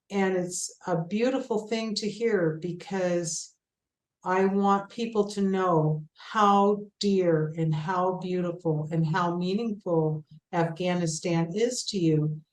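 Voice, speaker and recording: A woman interviewer responding warmly and enthusiastically to her guest's story. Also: lowish voice, echoey room, low-quality recording. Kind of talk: interview conversation.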